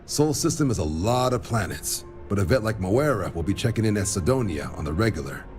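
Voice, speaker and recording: An actor playing an easygoing, wry military recruiter officer in a video game, talking in a casual, colloquial way. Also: male voice, fairly low pitch, lively intonation, a little fast, a little mellow, soft background music, close to an everyday voice. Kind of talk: video game cutscene acting.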